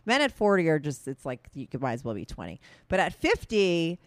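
A woman's voice: deep voice, big pitch swings, brisk, no room sound. A woman in her forties hosting a casual chat, blunt and teasing, with frequent swearing. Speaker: host.

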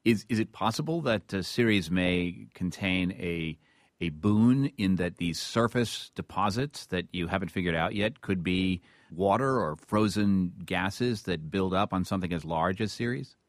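Deep-voiced man hosting, close dry sound. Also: measured pace, no echo. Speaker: radio host, interviewer. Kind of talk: conversational radio interview.

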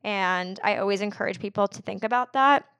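A woman's voice: mid-range voice, a little fast, fairly bright tone, smooth, no echo, clean studio sound. A woman in her twenties talking as a podcast guest, chatty and casual, filling her speech with "like". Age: 20s. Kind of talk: casual conversational interview.

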